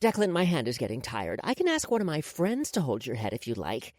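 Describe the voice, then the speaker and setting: A woman's voice: a bass voice, huge pitch swings, fairly quick, darkish tone, dry recording, clean studio sound, full character voice. A woman playing a menacing, mocking, commanding woman in charge, as audiobook dialogue.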